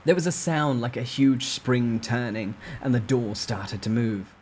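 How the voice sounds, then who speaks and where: A man's voice: mid-range voice, a little slow, a little mellow. Man narrating a novel over background noise. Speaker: storyteller reading a web novel aloud. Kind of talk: dramatic first-person novel narration.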